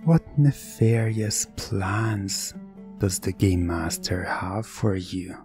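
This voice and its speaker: A man narrating a scripted, suspenseful ASMR story in a teasing manner, with a breathy voice. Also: deep voice, steady tone, slow, music in the background.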